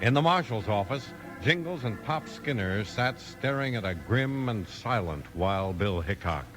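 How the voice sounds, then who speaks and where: A man's voice: on the low side, lively intonation, unhurried, low-quality recording. A man reading scripted narration for a 1950s radio western. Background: music.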